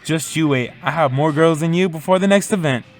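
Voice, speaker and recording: Man as higher-voiced goofy, boastful young guy. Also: brisk, faint music behind, lightly acted. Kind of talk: audio fiction dialogue.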